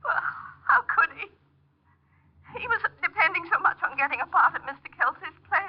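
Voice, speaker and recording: High-pitched, tearful, desperate wife. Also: female voice, expressive, brisk. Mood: distraught. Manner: pleading, faltering. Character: distraught, helpless, penniless wife of an old actor. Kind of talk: 1950s radio melodrama acting. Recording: muffled old radio recording.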